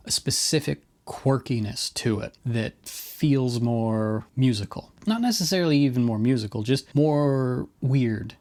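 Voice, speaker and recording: A man with a mid-pitched voice hosts a guitar effects video as a casual monologue. He explains things informally, states his own opinions freely and undercuts himself with wry, self-deprecating asides. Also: a little mellow.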